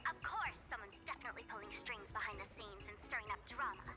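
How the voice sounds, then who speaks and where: A woman's voice: on the high side, fast talker, natural voice. An actress voicing a sly, teasing female streamer in a video game, with a playful, mocking sing-song delivery. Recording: game audio with loud music.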